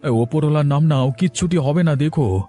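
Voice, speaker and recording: A man voicing a calm, devout village husband in a cartoon, gentle and resigned as he reassures. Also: on the high side, fairly quick, faint music behind, lightly acted. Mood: reassuring. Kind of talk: cartoon voice acting.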